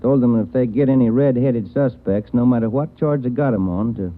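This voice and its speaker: An actor playing an easygoing, folksy detective partner in a 1940s radio drama. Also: male voice, medium pitch, a little fast, narrow, tinny audio, close to an everyday voice. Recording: old radio broadcast, band-limited.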